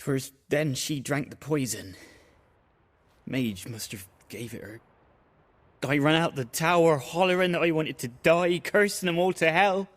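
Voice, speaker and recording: An actor playing a grief-haunted village fisherman in a fantasy video game, with a rustic, halting, emotional delivery. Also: fairly high pitch, lightly acted. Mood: distraught. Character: anguished village fisherman, a plain man haunted by lost love. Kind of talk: dramatic video game voice acting.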